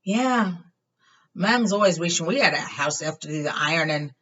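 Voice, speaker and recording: A woman's voice: on the low side, lively intonation, normal speed, fairly bright tone. A woman voicing a wry, matter-of-fact teenage wizard brother with emphatic delivery in a storybook reading. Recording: dull lo-fi, far from the mic.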